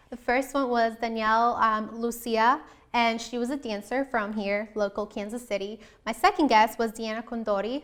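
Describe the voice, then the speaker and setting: A woman's voice: on the high side, fairly bright tone. A young woman from the US Midwest, born in Colombia, hosting a show and talking informally and earnestly to viewers about herself, often rephrasing as she goes. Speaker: show host.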